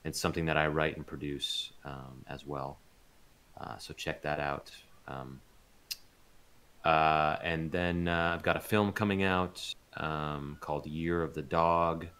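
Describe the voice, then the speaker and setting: A man's voice: extremely low pitch, steady tone, a little slow, fairly bright tone, smooth. A man talking casually and informally as an interview guest. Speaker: interview guest, voice actor and audio drama producer.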